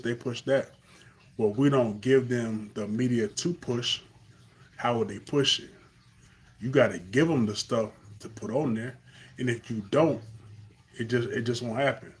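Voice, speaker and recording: Earnest Carolina man musing live. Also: medium pitch. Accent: Carolina Southern US. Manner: earnest, rambling, with many fillers and restarts. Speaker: live stream host. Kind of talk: unscripted live monologue.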